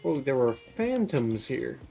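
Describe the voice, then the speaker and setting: A man's voice: medium pitch, big pitch swings, takes it slowly, vocal fry, dark tone, soft background music. A man giving casual live commentary as a game streamer, musing aloud and chatting to viewers. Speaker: streamer playing a video game.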